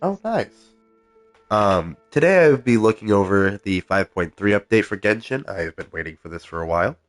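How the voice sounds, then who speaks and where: A man's voice: lowish voice, animated, moderate pace, no echo, soft background music. A man streaming a video game live, talking casually with a stuffed-up cold voice and lots of ums as he reads out the game's menus. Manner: soft-spoken, many ums, reads game text aloud.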